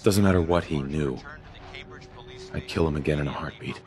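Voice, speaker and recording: Deep-voiced, grimly determined father. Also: moderate pace, a little mellow, soft background music. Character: grim, determined father hunting for his son. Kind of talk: video game dialogue.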